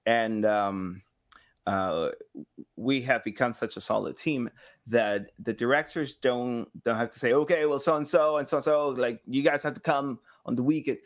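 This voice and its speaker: Male podcast guest, thirties, mid-range voice, conversational, feels his way through sentences and slips into quoted dialogue. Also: mid-range voice. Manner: halting, thinks aloud, acts out imagined quotes. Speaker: podcast guest, game sound designer. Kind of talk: casual interview chat. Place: small home room with slanted ceiling, indoors.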